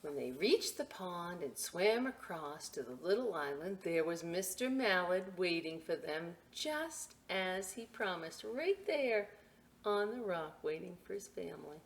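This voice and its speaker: A woman hosting a children's story time and craft demonstration, chatting to young listeners in a playful, expressive, informal way with asides. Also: on the low side, measured pace. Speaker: library story time host reading picture books and showing a craft.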